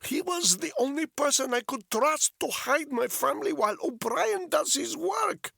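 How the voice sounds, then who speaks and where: A man voicing a desperate male Russian captive who pleads and shouts in pain, with a thick Russian accent. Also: extremely high pitch, lively intonation, moderate pace, creaky, no room sound, studio quality, pitched above the performer's own voice, full character voice. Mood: shouting.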